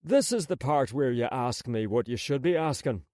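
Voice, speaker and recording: A man's voice: medium pitch, animated, normal speed, darkish tone, no echo, clean studio sound, pitched below the performer's own voice, lightly acted. A man voicing a flippant, cocky male mobster in an audiobook, with a taunting manner.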